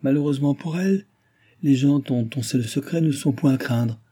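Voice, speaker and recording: A man reading aloud and voicing a jealous, sharp-tongued young maid who mocks and scolds. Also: mid-range voice, moderate pace, slightly rough, pitched above the performer's own voice, natural voice. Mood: mocking. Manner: mocking, scornful.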